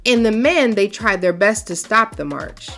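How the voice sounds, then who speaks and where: Woman, storybook read-aloud, folksy, expressive, faint music behind. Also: mid-range voice, moderate pace. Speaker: children's storytime host. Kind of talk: reading a picture book aloud.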